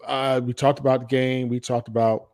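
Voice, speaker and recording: A man's voice: mid-range voice, flat delivery, normal speed, darkish tone. An American man hosting a casual podcast chat, speaking in a blunt, salty-tongued way. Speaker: podcast host.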